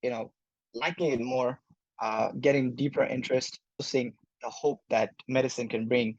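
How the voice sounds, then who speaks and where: A young man from Bangladesh, an incoming medical student, answering interview questions thoughtfully with many pauses and fillers. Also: medium pitch, steady tone, a little mellow, dry recording, highs cut off. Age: young adult.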